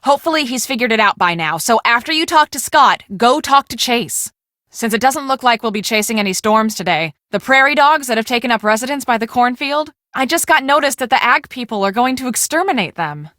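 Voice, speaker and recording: Bright, high-voiced bossy young storm chaser. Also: female voice, animated, brisk, dry recording, studio quality. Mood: friendly, businesslike. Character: brisk, bossy young woman on a storm-chasing team. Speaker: voice actress in an adventure video game. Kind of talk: scripted video game dialogue.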